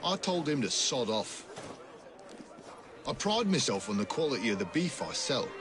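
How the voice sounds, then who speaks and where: An actor playing a gruff, proud and dismissive Victorian butcher with an English accent in a video game. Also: male voice, medium pitch, big pitch swings, moderate pace, over music, natural voice. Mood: irritated, dismissive. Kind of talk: video game cutscene acting.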